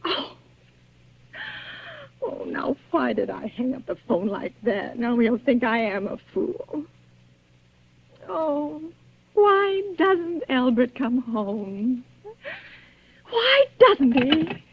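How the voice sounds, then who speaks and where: An actress playing a nervous, demanding, self-pitying bedridden wife in an old radio suspense drama, agitated and stammering. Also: female voice, sits high, very animated, drawn-out words, long pauses, breathy edge, full character voice. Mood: distraught. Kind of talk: 1940s radio suspense acting. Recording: dull vintage radio recording.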